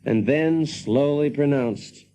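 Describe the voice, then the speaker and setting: A man's voice: on the high side, takes it slowly, dark tone, a little hiss or hum, poor audio. A man playing a brash, wry engineer in a radio drama, telling his story with dry, folksy self-assurance. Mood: wry, self-satisfied. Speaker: protagonist and narrator. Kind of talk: dramatized first-person narration, radio drama.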